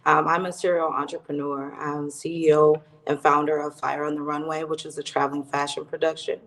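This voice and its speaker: Flat-toned woman raised in the US. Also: sits low, brisk, low-quality recording. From United States. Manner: listing her roles, upbeat. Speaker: panelist. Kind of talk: panel discussion.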